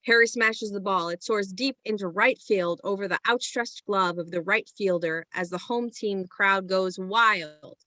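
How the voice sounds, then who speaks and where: A woman's voice: mid-range voice, unhurried, few pauses, pushed and bright, no echo. A woman reading screenplay stage directions as scripted present-tense narration.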